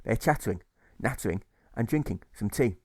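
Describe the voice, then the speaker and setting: A man's voice: on the low side, lively intonation, fairly quick, darkish tone, dry recording. Man narrating a rhyming story, very dry sound. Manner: rhythmic, list-heavy storybook delivery. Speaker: author reading own audiobook.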